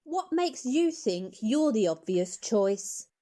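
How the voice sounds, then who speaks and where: A woman's voice: fairly high pitch, big pitch swings, slow, warm tone. A woman acting in an amateur radio play as a fair-minded, practical person who stands up for others and reasons things out. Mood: questioning, practical.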